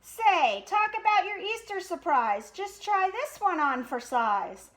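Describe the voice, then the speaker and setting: A woman voicing a silly, excitable papa bear with big inventive ideas, exclaiming boastfully in a storybook reading. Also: extremely high pitch, takes it slowly, pitched above the performer's own voice, heavily acted. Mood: excited, inspired.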